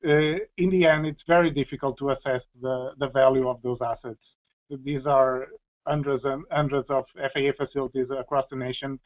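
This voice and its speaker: Halting man explaining, tinny phone-like line. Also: mid-range voice, fairly even intonation. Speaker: policy expert answering questions. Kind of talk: explanatory panel Q&A. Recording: tinny, phone-like band-limited audio.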